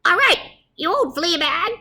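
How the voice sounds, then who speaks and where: A woman voicing a blustering male mouse commander from a storybook, squeaky and bossy, given to exclaiming. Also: sing-song, measured pace, bright, forceful tone, full character voice. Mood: bossy, commanding.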